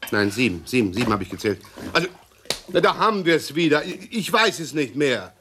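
A man's voice: mid-range voice, very animated, brisk, sharp tone, slight background noise. An actor playing a pedantic, self-satisfied middle-aged policeman husband in a radio play, fussy and blustering, repeating himself. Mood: fussy, flustered. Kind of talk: 1960s German radio play acting.

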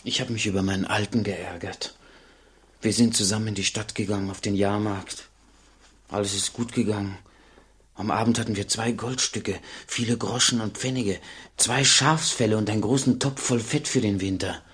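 Husky, low-voiced robber's boy. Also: steady tone, moderate pace, a bit bright. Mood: resentful, confiding. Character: poor, hungry, streetwise young robber's son. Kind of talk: radio drama dialogue.

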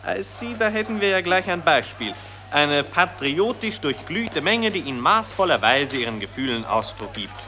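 An actor playing a fussy, pompous and agreeable academic who talks in stilted phrases in a satirical radio play. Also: male voice, a little high, sing-song, moderate pace, sharp tone, soft background music. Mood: smugly approving.

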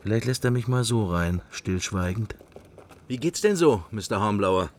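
A man's voice: fairly low pitch, animated, normal speed, close to an everyday voice. An actor playing a wry middle-aged everyman musing to himself in clipped fragments in a radio play. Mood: idle, amused. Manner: clipped, wandering interior monologue. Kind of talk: intimate literary radio play monologue.

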